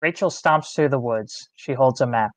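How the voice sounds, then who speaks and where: A man's voice: medium pitch, normal speed, dry recording. A man reading stage directions as narrator at a screenplay table read.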